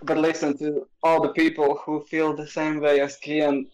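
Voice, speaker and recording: A man's voice: on the high side, monotone, normal speed, a little mellow, rough recording. A man co-hosting a casual podcast, often restarting his words and playfully pushing back on the others. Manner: stumbling restarts, playful pushback. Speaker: podcast co-host. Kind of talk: casual chat.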